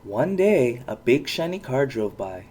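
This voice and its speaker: A parent reading a children's storybook aloud with expressive narration. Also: medium pitch, measured pace, slightly rough.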